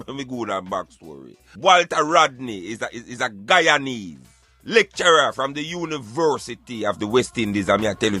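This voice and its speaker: A man's voice: mid-range voice, pushed and bright, no room sound. A Jamaican man arguing emphatically in Patois, in an impassioned debate. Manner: emphatic, argumentative, speaking in Patois. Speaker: radio show co-host.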